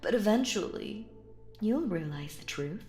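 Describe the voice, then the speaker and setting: Woman doing a creepy possessive lady magician for audio roleplay, slow theatrical coaxing w breathy whispers, echoey. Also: medium pitch, animated, a little mellow, soft background music, lightly acted. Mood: sweetly menacing. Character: sinister, possessive female stage magician, doting captor. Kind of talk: roleplay audio drama, intimate hypnotic monologue.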